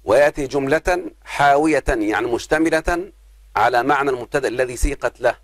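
A man, teaching an Arabic grammar lesson. He talks patiently, addresses his students as his children and slows down on examples. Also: medium pitch, no room sound. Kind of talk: grammar lesson explaining verse.

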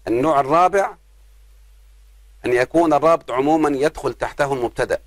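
A man's voice: mid-range voice, no echo. Man teaching Arabic grammar. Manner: patient, addresses students as "my children", slows to stress examples. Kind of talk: grammar lesson explaining verse.

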